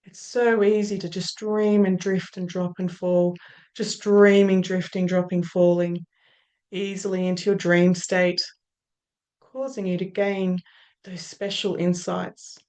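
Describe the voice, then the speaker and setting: Australian woman hypnotist, unhurried, soft trailing phrases. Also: fairly low pitch, level tone. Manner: slow, soothing, drawn-out phrases that trail off softly. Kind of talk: guided hypnosis induction.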